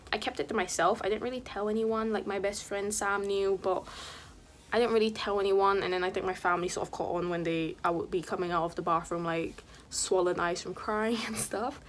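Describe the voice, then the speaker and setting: A woman in her twenties, raised in Singapore, talking straight to camera in an open, confessional way. Her voice sits at an average pitch for a woman. She fills her sentences with casual "like" and "you know," and now and then drops into a soft whisper. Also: a little hiss or hum. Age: 20s. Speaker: vlogger and streamer. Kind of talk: candid personal vlog talk.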